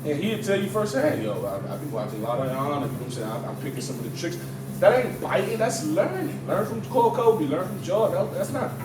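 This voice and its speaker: A man's voice: sits high, animated, brisk, very noisy. A man being interviewed as a battle rapper, talking casually in slang and emphatically repeating his phrases. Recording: roomy, distant mic, music underneath.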